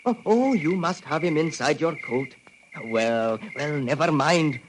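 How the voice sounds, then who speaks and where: A man's voice: on the high side, lively intonation, a little slow. An actor playing a simple-minded, childlike man who loves birds and bonfires, with wandering, repetitive talk, in an old radio drama. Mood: dreamy, innocent. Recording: noisy vintage radio recording.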